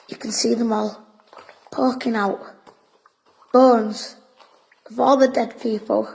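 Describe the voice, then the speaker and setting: A woman's voice: a little high, gravelly, darkish tone, rough recording. A woman playing a bossy, boastful wartime boy who tells spooky tales, in an audio drama. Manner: officious, storytelling.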